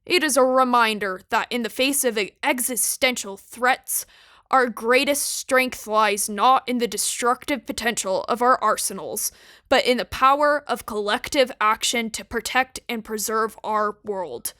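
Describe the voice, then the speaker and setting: A woman plays a solemn radio reporter reading scripted dramatic narration. Her voice is on the high side, formal and measured. The sound is dry, with no room around it. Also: pushed and bright, no room sound. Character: solemn female radio news reporter closing broadcast.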